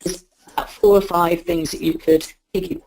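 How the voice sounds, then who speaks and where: A woman with a croaky voice, giving a quick, chatty webinar talk on PR. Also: lowish voice, level tone, darkish tone, a little hiss or hum, rough recording. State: croaky, sore throat. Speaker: PR guest speaker. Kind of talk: webinar presentation.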